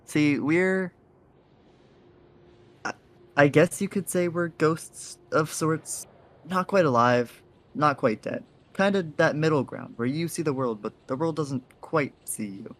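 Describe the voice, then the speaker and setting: A man's voice: a little high, takes it slowly. A man playing an awkward, friendly ghost in an audio drama, speaking in a hesitant, casual way. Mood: hesitant, explaining. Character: awkward, gentle, friendly male faceless ghost.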